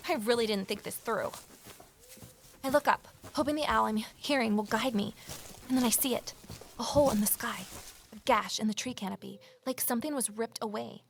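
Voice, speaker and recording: A woman's voice: a little high, slight background noise, natural voice. A woman playing a wry, uneasy young journalist who narrates a mystery audio drama in a confiding way. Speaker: lead character and narrator.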